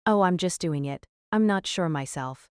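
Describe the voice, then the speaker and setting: A woman playing a confident teenage girl who aspires to police work, brisk and deadpan, in an audio drama. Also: fairly low pitch, clean tone, no echo, clean studio sound, lightly acted. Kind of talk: anime-style audio drama acting.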